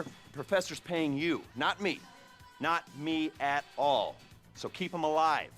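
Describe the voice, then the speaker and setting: A man's voice: medium pitch, a bit bright, natural voice. An actor playing a jittery, cagey male heist planner who gives sardonic, hedging mission briefings in a video game cutscene. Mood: irritated, urgent. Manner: hesitant hedging mixed with brisk, sardonic briefing. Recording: music behind the voice.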